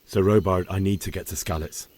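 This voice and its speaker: An actor playing an earnest, inquisitive young blacksmith's son in a medieval video game. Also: male voice, low voice, fairly even intonation, fairly quick, a little mellow. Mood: earnest, curious. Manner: questioning, earnest. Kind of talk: video game cutscene acting.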